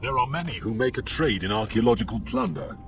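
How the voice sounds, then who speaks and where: Stern explorer, low but expressive voice, bit distant, game scene. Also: male voice, quick pace, creaky, a little hiss or hum. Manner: serious. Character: stern, observant male investigator exploring ruins. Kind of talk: scripted game dialogue. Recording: distant voice with music behind.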